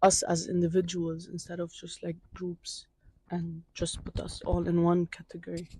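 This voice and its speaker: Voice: mid-range voice, completely flat delivery, normal speed, mellow, husky. A student from Syria answering interview questions on a campus outdoors, speaking hesitantly and searching for words.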